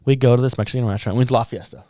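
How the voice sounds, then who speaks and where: A man's voice: mid-range voice, steady tone, racing, mellow, no echo. A man co-hosting a podcast, chatting casually, laughing often and restarting his sentences. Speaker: podcast co-host. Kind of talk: casual chat.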